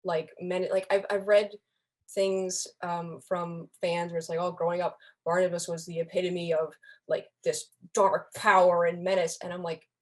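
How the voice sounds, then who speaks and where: A young adult woman speaking as a podcast guest in a lively casual discussion, with a wry, emphatic way of talking. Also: lowish voice, normal speed. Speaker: podcast guest, fan community member.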